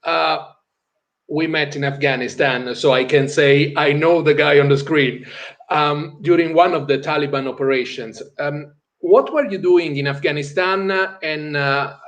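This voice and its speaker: Hesitant Italian-accented interviewer, slightly high voice. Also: male voice, a bit bright. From Italy. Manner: hesitant, many pauses and fillers. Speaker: interviewer, host. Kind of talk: interview questions.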